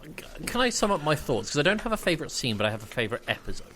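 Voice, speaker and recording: A man's voice: fairly high pitch, very animated, rapid-fire, a bit bright, a little hiss or hum. A man giving his opinions casually as a podcast co-host.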